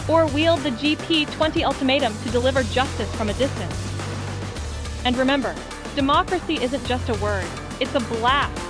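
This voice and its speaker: A woman playing a proud, zealous wartime announcer delivering a polished, emphatic radio sales pitch. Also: moderate pace, close to an everyday voice. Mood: proud, promotional. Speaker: advertisement announcer. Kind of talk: promotional propaganda radio ad. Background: music bed.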